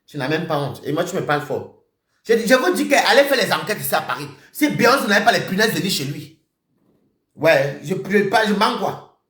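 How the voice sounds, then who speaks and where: A man ranting on a live stream, accusing someone directly. He repeats himself and swings between shouting and muttering. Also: sits high, sing-song, moderate pace, reverberant. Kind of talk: heated live-stream rant.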